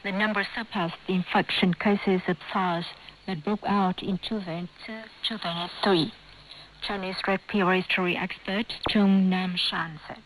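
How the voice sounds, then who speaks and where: A woman's voice: mid-range voice, steady tone, normal speed, fairly bright tone, a little hiss or hum, rough recording. A woman reads scripted news as a radio presenter over a shortwave broadcast.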